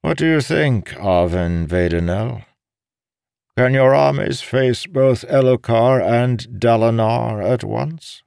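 Man voicing a slow, sly old captive schemer. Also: mid-range voice, a little mellow, no room sound, studio quality, voice pushed up. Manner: smooth, unhurried, calculating. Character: sly old male captive, affable yet menacing. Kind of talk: dramatic audiobook character reading.

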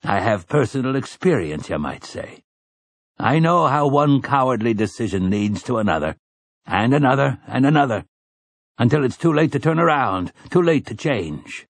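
A man's voice: medium pitch, sing-song, moderate pace, no echo. A man voicing a stern old priest in an audiobook, commanding and oratorical, with rustic, archaic turns of phrase. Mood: grave, confiding. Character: stern, weathered old priest rallying frightened villagers. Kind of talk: audiobook character dialogue.

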